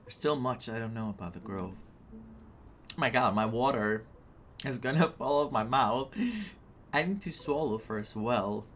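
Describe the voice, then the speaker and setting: A man doing playthrough commentary as a game streamer, reading on-screen text aloud and reacting in an animated way. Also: medium pitch, a little slow, slight background noise. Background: game music.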